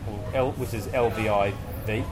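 A man's voice: lowish voice, fairly even intonation, moderate pace. A man co-hosting a casual podcast, reading out a guest's written answers and bantering loosely with the occasional swear word. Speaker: podcast co-host. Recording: music playing underneath.

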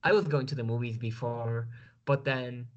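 Turkish-accented man, halting speech. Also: mid-range voice, fairly even intonation, muffled recording. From Turkey. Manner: hesitant, many fillers. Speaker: guest. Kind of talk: interview over a video call. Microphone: video call.

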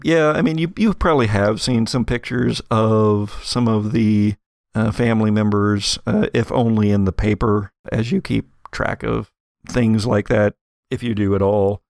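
A man's voice: on the low side, dry recording, studio quality. A man working as game master, narrating an actual-play tabletop game in a conversational, improvised way.

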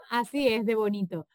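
A woman's voice: on the high side, a little mellow. A woman hosting a radio interview in Spanish, asking encouraging questions.

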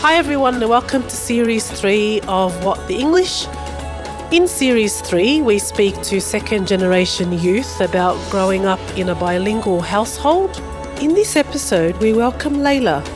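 A woman hosts a podcast interview at a middle pitch. She asks friendly, encouraging questions while faint music plays behind her. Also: expressive, moderate pace. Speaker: podcast host.

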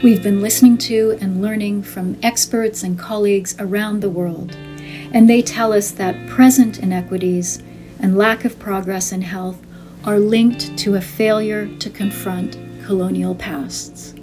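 A woman's voice: medium pitch, fairly even intonation, a little slow, mellow, soft background music. A woman announcer delivering a scripted introduction for a podcast. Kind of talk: scripted narration.

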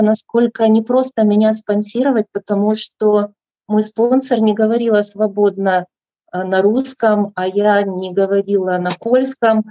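A woman with a mellow, dark voice shares her story in a measured, reflective way. The audio is dry and dull, heard through a video call. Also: mid-range voice, dry recording. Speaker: meeting speaker. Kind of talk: personal recovery share.

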